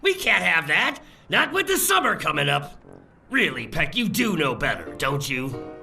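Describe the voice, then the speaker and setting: A man's voice: fairly high pitch, sing-song, normal speed, creaky, bright, forceful tone, distant mic, soft background music, full character voice. A man playing an indignant, exasperated big-city mayor in a comedic audio drama. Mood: wary. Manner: exasperated, theatrical.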